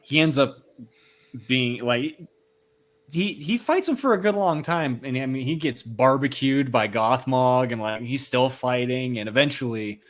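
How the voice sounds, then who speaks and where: A man's voice: medium pitch, a little slow. A man co-hosting a book discussion in a casual, colloquial way, with hesitant phrasing and exclamations. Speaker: co-host of a read-along discussion.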